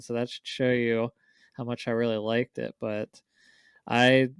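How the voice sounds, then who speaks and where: A man's voice: medium pitch, clean tone, no room sound. A man co-hosting a podcast, chatting casually in a discussion. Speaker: podcast co-host.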